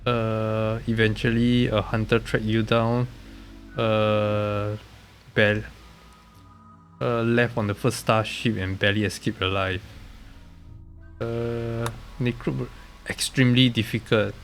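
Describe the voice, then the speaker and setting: A man with a low, nasal voice commentates casually on his game stream, sounding stuffed up from being sick. He speaks very slowly and haltingly, often trailing off, with music playing behind him. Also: level tone. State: congested, recovering from being sick.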